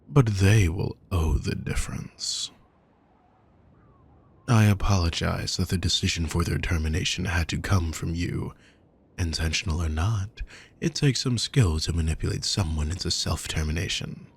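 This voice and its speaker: A man playing a wealthy, possessive villain kidnapper in an intimate audio roleplay, calm, courtly and manipulative, speaking in measured, formal phrases with soft menace. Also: exaggerated voice. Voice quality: low, gravelly, husky.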